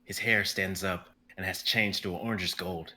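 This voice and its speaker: A young man narrating stage directions in a live script reading, brisk and vivid, with the odd stumble. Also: low-pitched, steady tone, bright, forceful tone. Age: young adult.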